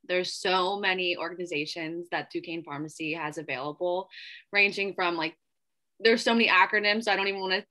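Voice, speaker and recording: Young woman, American accent, lowish bright voice, chatty, enthusiastic, informal, student panelist. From Pittsburgh area, United States. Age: 20s. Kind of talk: casual panel answer.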